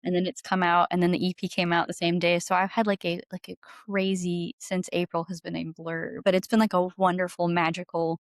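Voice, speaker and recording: A woman's voice: on the low side, level tone, brisk, few pauses, no echo, clean studio sound. A woman talking casually and enthusiastically as a guest in an interview, effusive about her creative work. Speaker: podcast guest, singer-songwriter and audio drama creator.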